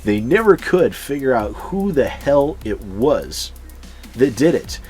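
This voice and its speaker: A man's voice: medium pitch, big pitch swings, slow, a little mellow, faint music behind. A man telling personal anecdotes casually as a channel host, swearing freely and chuckling as he goes. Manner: sweary, chuckles through his stories. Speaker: YouTube storyteller and channel host.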